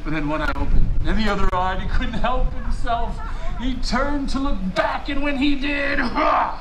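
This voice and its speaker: A man from Hawaii performing live as a storyteller, telling a legend theatrically with dramatic pauses and drawn-out emphatic words. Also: very high-pitched, a crowd in the background. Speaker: resort storyteller. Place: outdoor poolside deck at a resort. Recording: roomy, noisy outdoor live recording.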